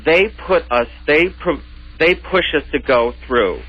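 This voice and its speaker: A man arguing his case as a guest in an unscripted radio debate, defensive and halting, often repeating phrases. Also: fairly high pitch, lively intonation, no room sound. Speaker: interview guest, pageant organizer. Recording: dull, lo-fi radio recording.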